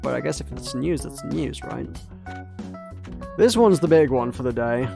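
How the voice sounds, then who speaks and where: A man's voice: medium pitch, animated, moderate pace, gravelly, dark tone, faint music behind. A young man chatting casually while streaming a game, rambling with lots of asides and fillers. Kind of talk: casual gameplay commentary.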